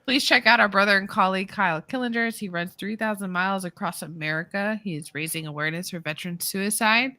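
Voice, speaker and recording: Bright self-mocking woman co-host. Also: medium pitch, quick pace, hardly any pauses, dry recording. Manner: laughs at herself, self-deprecating. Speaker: podcast co-host. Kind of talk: reading show announcements, casual chat.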